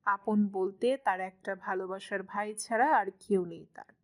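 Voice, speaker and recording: A woman's voice: mid-range voice, fairly even intonation, a little slow. A woman reading scripted narration as the storyteller of a dramatic romance story.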